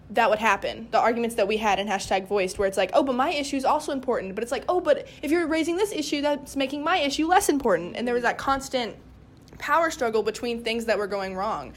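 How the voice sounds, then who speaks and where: A teenage girl chatting casually and emphatically as a cast member, rambling as she goes. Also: fairly high pitch. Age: teens. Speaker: young cast member of a play. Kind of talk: casual chat.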